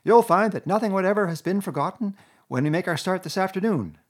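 Storybook toad, grand, bragging and exclamatory, a man putting him on, pitched up with big swings. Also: sing-song, normal speed. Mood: boastful. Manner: loud, gushing and exclamatory. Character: boastful excitable male toad, grandly pompous. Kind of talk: storybook reading.

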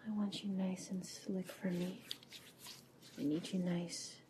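A woman playing a coaxing, indulgent teacher in an intimate roleplay, speaking in a soft, breathy whisper and murmuring slowly. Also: on the low side, flat delivery, background noise. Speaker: audio roleplay performer.

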